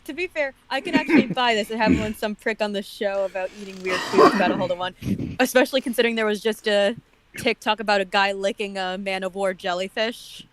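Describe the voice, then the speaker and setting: A woman's voice: medium pitch, brisk. A woman chatting casually as a commentator, explaining her points in a matter-of-fact way. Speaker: guest commentator on a comedy reading show. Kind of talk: casual chat.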